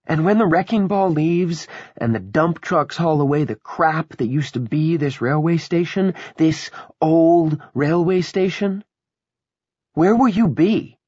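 A man's voice: a little high, a little slow, a little mellow, slightly rough, dry recording, muffled recording, voice pushed up. A man voicing a fierce, impassioned young dead woman in an audiobook, emphatic and pleading. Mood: taunting, scornful.

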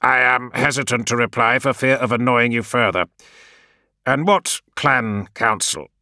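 Narrator doing a measured, deliberate male adviser, a little higher and more formal than his narration. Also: bright, forceful tone, dry recording, clean studio sound. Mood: careful. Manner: measured, mockingly sober, deliberate. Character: wry, measured male adviser, dryly courteous.

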